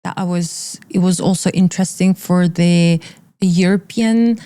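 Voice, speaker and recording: A woman's voice: on the low side, fairly even intonation, a little slow, mellow, clean tone. A woman in her thirties, originally from Iran, speaking candidly and with emphatic repetition as an interview guest. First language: Persian.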